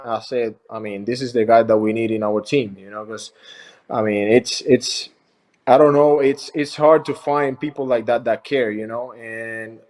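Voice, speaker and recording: Young man, halting answers. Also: medium pitch, a little mellow. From Puerto Rico. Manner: halting, many fillers and restarts, friendly. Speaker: professional baseball pitcher, interview guest. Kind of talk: casual interview answers.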